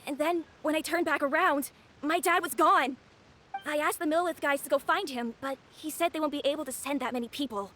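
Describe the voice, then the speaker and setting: A woman's voice: high-pitched, brisk, a bit bright, a little hiss or hum. A woman voicing a young boy in an anime game, earnest, worried and polite, with a boyish voice. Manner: earnest, pleading.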